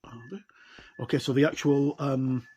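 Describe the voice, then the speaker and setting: A man giving a casual, unscripted review as he leafs through a product, talking in halting, rambling short phrases. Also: medium pitch, steady tone, darkish tone, muffled recording. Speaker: tabletop game reviewer. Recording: clear background noise with clicks.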